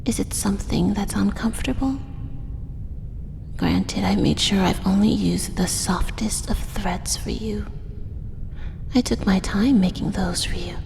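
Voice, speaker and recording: A woman voicing a doting, possessive female drider monster in a soft, breathy, whispered ASMR roleplay, coaxing with tender menace in an echoing space. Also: a little slow. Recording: echoey cave-like reverb, faint rumbling noise.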